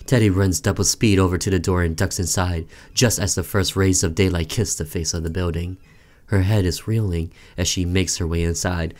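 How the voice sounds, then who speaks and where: Male gaming host, low-pitched voice, dramatic story reading with offhand asides, faint music underneath. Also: darkish tone. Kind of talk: let's play narration, reading story text aloud with casual reactions.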